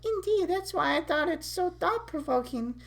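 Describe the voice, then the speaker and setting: A woman's voice: very high-pitched, expressive, normal speed, pitched above the performer's own voice. A woman playing a bookish young woman with a prim, formal, wordy way of talking in a cartoon-style story. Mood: prim admiring.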